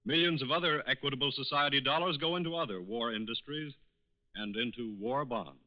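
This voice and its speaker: A man with an American accent reading a sponsor's announcement in the formal, measured, declamatory style of 1940s radio. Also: mid-range voice. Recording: muffled 1940s radio recording.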